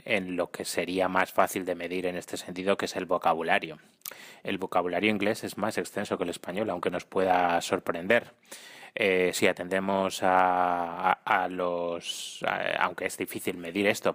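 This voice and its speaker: A man's voice: low-pitched, fairly bright tone. A man leaving a voice message for a podcast, speaking carefully and with hesitant restarts. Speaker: listener sending a voice message. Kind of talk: prepared voice message.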